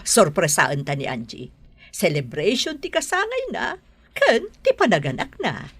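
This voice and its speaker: A woman playing a doting, excitable older mother and grandmother-to-be, motherly and expressive, in a radio drama. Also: mid-range voice, unhurried, breathy edge, no echo, heavily acted. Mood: joyful.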